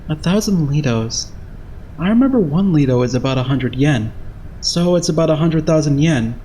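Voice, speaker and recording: A man's voice: on the high side, expressive, normal speed, warm tone, a little hiss or hum, natural voice. A man voicing an earnest, naive young idol in an anime fandub, speaking in a straightforward way. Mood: thoughtful. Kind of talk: anime game fandub acting.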